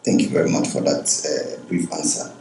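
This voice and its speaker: A man's voice: rumbling low, fairly even intonation, normal speed, creaky, darkish tone. A man interviewing a guest, asking long rambling questions. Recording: very echoey room, faint background noise.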